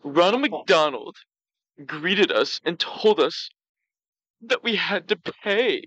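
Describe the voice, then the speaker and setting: Man playing a slow, pouty, high-voiced storyteller. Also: animated, fairly bright tone, dry recording, highs cut off, full character voice. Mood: self-satisfied. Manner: pouty, slow, halting delivery. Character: pouty, self-justifying, gluttonous male talk show storyteller. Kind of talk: comedic in-character storytelling.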